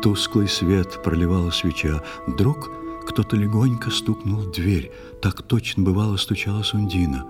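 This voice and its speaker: A man narrating an audio play in verse, expressive and theatrical. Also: low voice, moderate pace, over music. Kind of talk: scripted dramatic verse narration.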